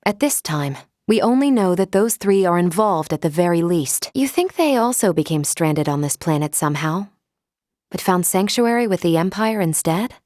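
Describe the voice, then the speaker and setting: A woman voicing a calm, logical female android in a video game, speaking in a formal, precise and measured way. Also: on the low side, dry recording, studio quality, natural voice. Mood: calm, analytical. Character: calm, logical female android crew member, polite and analytical. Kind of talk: video game character voice acting.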